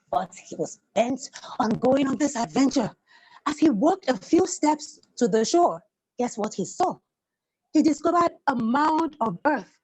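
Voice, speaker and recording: A woman's voice: high-pitched, darkish tone, slightly rough, rough recording. A woman telling a children's story in a theatrical way, repeating words for effect and putting questions to her listeners.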